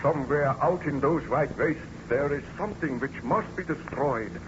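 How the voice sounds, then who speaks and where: An actor playing an obsessed, weary baron and scientist in a theatrical, declamatory old radio drama style. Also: male voice, a little high, moderate pace, lightly acted. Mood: anguished. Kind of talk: 1930s-40s radio serial acting. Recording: muffled, noisy old radio recording.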